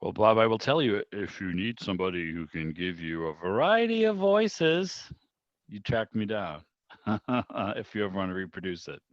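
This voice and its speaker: A man's voice: fairly low pitch, animated, normal speed, no echo. A man hosting casually on a dull, lo-fi video call, chatty and courteous in a conversational way. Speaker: meeting host.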